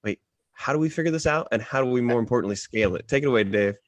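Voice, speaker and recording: A man's voice: mid-range voice, expressive, very fast, no echo. A man hosting a podcast, opening the show informally in a playful, showman-like manner. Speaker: podcast host.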